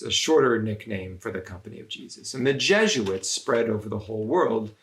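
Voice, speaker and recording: Creaky-voiced man reading a children's story. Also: on the low side, fairly bright tone. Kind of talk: read-aloud storytelling narration.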